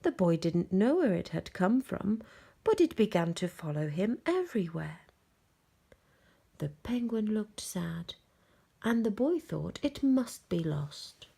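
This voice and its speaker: A woman storyteller reading a children's bedtime story aloud, gently and expressively, with dramatic pauses and hushed phrase endings. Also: medium pitch, big pitch swings, gravelly, husky.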